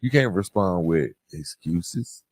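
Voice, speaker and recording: A man hosting a podcast, giving motivational advice in an earnest, conversational, gently preaching way with emphatic pauses. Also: deep voice, expressive, no echo. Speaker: podcast host and author.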